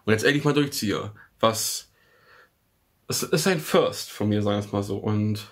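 A young man talking to camera in a small room at home, rambling with many restarts and fillers as he gives a channel update. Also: mid-range voice. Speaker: music channel host. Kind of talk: casual vlog talk to camera. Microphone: studio mic off camera.

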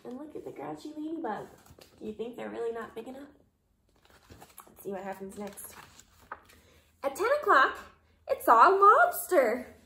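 A young woman reading a children's story aloud expressively, pausing to put questions to her young listeners. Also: high voice, gravelly, a little hiss or hum. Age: young adult. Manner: animated, questioning, addressing children. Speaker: kindergarten read-aloud reader. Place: small living room, indoors. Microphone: camera a short distance away. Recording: roomy home recording.

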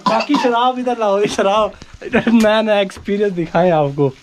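A man's voice: high-pitched, lively intonation, moderate pace. A man with a Pakistani accent casually narrating a travel vlog and chatting with locals. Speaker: travel vlogger. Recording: clear background noise, handheld outdoor vlog.

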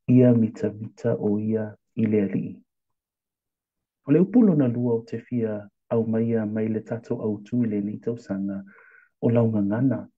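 A Samoan man with a Samoan accent, a pastor giving a devotional sermon and reading scripture slowly and deliberately. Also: mid-range voice, breathy edge, narrow, tinny audio.

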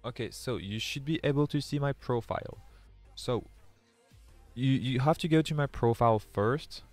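A man's voice: medium pitch, normal speed, darkish tone, soft background music. A young man from France with a French accent, a native French speaker, chatting with viewers and reading their chat aloud during a live game stream, often restarting his sentences. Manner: reads chat aloud, many restarts, earnest.

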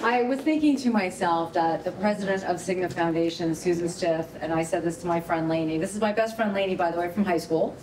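A woman's voice: fairly low pitch, a little fast, few pauses. A woman speaking informally to a gathering, effusive and appreciative, recorded in an indoor hall. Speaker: foundation guest speaker and corporate team leader. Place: indoor rehearsal hall, standing before an audience. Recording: roomy, distant mic, clear background noise.

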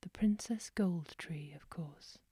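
A woman's voice: on the low side, slow pace, mellow, breathy edge, no echo, studio quality, heavily acted. A woman whispering as a knowing magic trout. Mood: solemn. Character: a magical talking trout in a well.

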